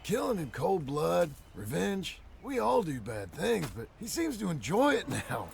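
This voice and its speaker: An actor playing a troubled, loyal younger outlaw in a video game, hesitant and brooding, with an American Western drawl. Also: male voice, high voice, huge pitch swings, measured pace, slight background noise, heavily acted. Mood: troubled, doubtful. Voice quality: gravelly. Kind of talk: dramatic video game acting.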